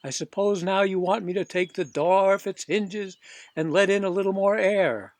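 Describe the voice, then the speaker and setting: A storybook serviceman, as a male narrator puts him on, gruff and argumentative. He talks in a folksy, working-class way, mocking and suspicious, with a somewhat high pitch. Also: normal speed, no echo. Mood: sarcastic.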